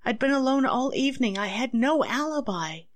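A woman acts a distraught wife under suspicion in a 1940s radio melodrama. Her voice sits fairly high. She pleads anxiously and haltingly, desperate to be believed. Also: expressive. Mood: anxious, pleading.

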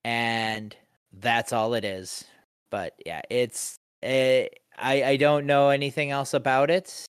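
Podcast guy, dry halting talk, smooth clear voice, no room sound at all. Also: mid-range voice, flat delivery, no room sound. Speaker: podcast co-host. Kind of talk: casual chat.

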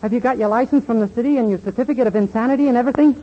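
A man's voice: very high voice, very fast, a loud crowd. A man playing a naive, dim-witted young man, guileless and eager, in 1940s radio comedy style. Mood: naive, puzzled. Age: young adult. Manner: guileless, earnest delivery of absurdities. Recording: muffled, hissy 1940s radio recording.

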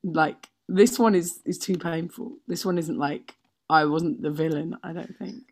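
A woman's voice: deep voice, fairly even intonation, measured pace. A woman telling casual comic anecdotes as a podcast guest, emphatic, sweary and self-mocking.

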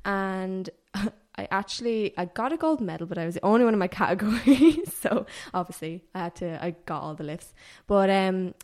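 A woman's voice: mid-range voice, lively intonation, normal speed, a little mellow. A woman, a podcast guest chatting casually and rambling a little as she corrects herself. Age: 20s. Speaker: podcast guest, personal trainer. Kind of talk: casual chat.

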